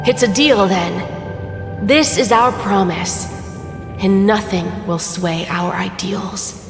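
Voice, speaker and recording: A gentle, idealistic boy in a video game drama. The delivery is slow and earnest, bathed in long reverb over loud music. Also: mid-range voice. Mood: warm resolve. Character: gentle young boy, a thoughtful, idealistic older brother. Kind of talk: video game story voice acting.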